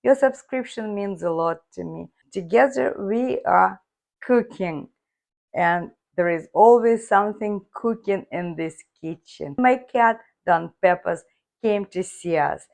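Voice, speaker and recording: A woman hosting a cooking video from her home kitchen, her voice hoarse, chatting viewers through each step. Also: medium pitch, a little slow, darkish tone. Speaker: cooking channel host. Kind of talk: casual cooking demonstration.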